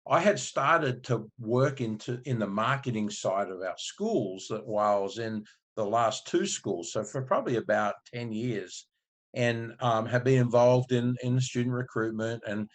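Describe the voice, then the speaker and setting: A man from Australia in his fifties, a marketing agency owner and guest, telling his story in a relaxed, rambling way with many restarts. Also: mid-range voice, moderate pace. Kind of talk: casual interview storytelling.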